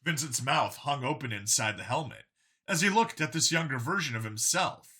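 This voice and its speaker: A man's voice: medium pitch, lively intonation, normal speed, bright, forceful tone, husky. A man narrating a story in a dramatic scripted reading style.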